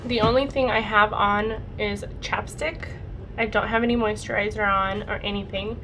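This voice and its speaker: A young woman vlogger chatting casually through a makeup routine, her voice a bit raspy and her nose congested from a cold. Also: mid-range voice, very noisy. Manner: chatty, rambling, lots of "like" and "super". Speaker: beauty vlogger. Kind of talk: casual makeup tutorial chat.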